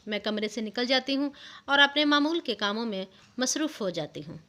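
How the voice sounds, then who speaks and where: A woman voicing a wise, patient elderly wife who gives calm, assured advice in a narrated story. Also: fairly high pitch, normal speed. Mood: calm advising. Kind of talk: storybook narration of interview answers. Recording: music under the voice.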